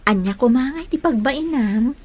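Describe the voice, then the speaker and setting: A woman's voice: high-pitched, animated, moderate pace, a little mellow, breathy edge. A woman acting a sweet, reassuring young woman in love in a radio-style drama.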